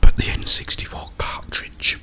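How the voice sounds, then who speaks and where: A man rambling in a breathy ASMR whisper, speaking in short, halting phrases with pauses. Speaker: ASMR creator and host. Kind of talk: whispered ASMR ramble about a video game.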